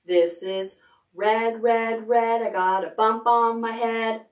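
A middle-aged woman hosting a children's storytime, reading aloud in simple, expressive language for toddlers. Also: fairly high pitch, slow pace. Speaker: library storytime host. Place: indoor room, recorded on video.

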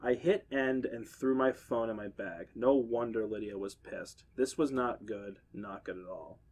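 A man's voice: fairly low pitch, measured pace, a little mellow. A man on a podcast reading part of a horror story aloud, with occasional quips. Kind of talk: casual reading with banter.

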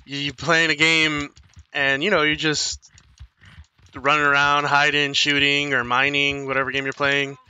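A man's voice: a little high, monotone, measured pace, sharp tone, clear, resonant tone. A young man with no accent commentating his game at home, rambling and apologizing as he tells self-mocking stories. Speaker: gaming streamer. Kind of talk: casual let's play commentary.

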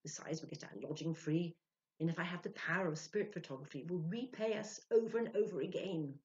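A woman's voice: lowish voice, quick pace, a little mellow, pitched above the performer's own voice, natural voice. A woman in her sixties voicing an earnest, self-important male spirit medium in a storybook reading, emphatic and self-justifying. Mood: eager, emphatic. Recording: dull lo-fi home recording.

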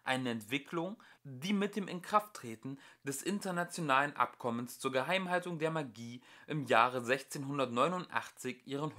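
A young man reading a storybook as an amateur audiobook narrator, in an expressive storytelling style. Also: medium pitch.

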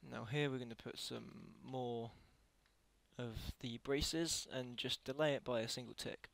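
Slow, creaky-voiced man giving a tutorial. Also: medium pitch, steady tone, a bit bright, no room sound. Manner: halting, self-correcting while typing. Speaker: tutorial creator. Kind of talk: casual screen-recorded software tutorial.

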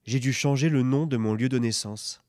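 A man in his thirties calmly reading a first-person literary passage aloud into a stand mic. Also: medium pitch, normal speed, no echo, clean studio sound. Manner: calm, even recitation. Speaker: reader.

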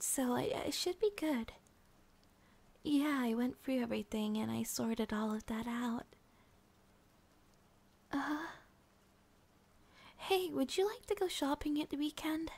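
A woman playing a sleepy, affectionate young schoolgirl girlfriend in an ASMR roleplay, speaking softly and breathily, often near a whisper. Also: high-pitched, measured pace, pauses often. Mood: tender, tired. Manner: soft, breathy, often whispering, with sighs and giggles.

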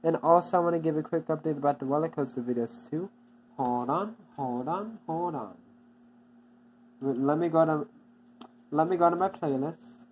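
A channel owner giving a casual, off-the-cuff update, halting and repeating itself often with many restarts. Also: medium pitch, muffled recording, a little hiss or hum.

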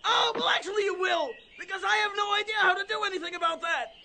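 Shrill, shouty alien villain. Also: fast talker, creaky, noisy background. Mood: gloating. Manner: bombastic, whiny theatrical bluster.

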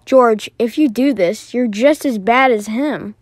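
A woman acting out lines as voiceover for an animated fan skit. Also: on the high side, a little slow, dry recording.

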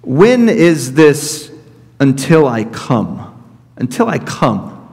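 A man in his thirties, a pastor preaching an expository sermon from a pulpit, teaching deliberately and repeating his key points. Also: medium pitch, sing-song, gravelly, a little mellow. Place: church sanctuary at a pulpit. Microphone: fixed camera at middle distance. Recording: reverberant church hall.

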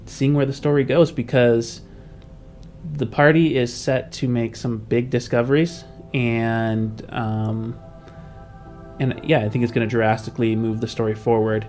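Young man, game-podcast host, middle register, loose conversational delivery with halting asides, music underneath. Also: mid-range voice, darkish tone. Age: young adult. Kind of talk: casual podcast chat.

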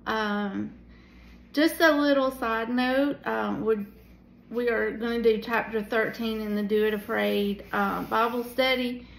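A tired woman in her forties leading a casual Bible study from a small room at home, talking into a phone held at arm's length, with many pauses and fillers. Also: a little high, steady tone, creaky, echoey room, a little hiss or hum, poor audio. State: tired at the end of the day. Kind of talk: casual devotional teaching. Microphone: phone camera at arm's length.

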